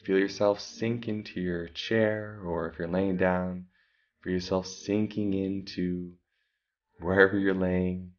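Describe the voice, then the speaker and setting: A man calmly guiding a meditation, giving slow breathing instructions with long pauses. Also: sits low, lo-fi sound. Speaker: meditation guide. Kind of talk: guided meditation.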